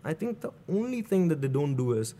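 Young man, bangalore accent, fairly high voice, casual interview, animated, swears. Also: fairly quick. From South India. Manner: animated, swearing, acts out his own thoughts. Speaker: music producer guest.

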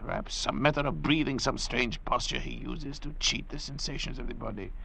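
A man playing an arrogant, intellectual doctor cast away at sea in old radio drama style, lecturing theatrically in a gravelly voice. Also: mid-range voice, fairly quick, bright, forceful tone, exaggerated voice. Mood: intense, brooding. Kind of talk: 1940s radio drama acting. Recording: old radio recording with faint hiss.

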